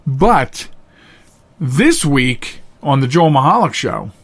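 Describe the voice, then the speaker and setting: A man's voice: medium pitch, sing-song, slow pace, husky. A man hosting a solo talk show, speaking directly to listeners in an earnest, emphatic way and repeating phrases to drive them home. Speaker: podcast host.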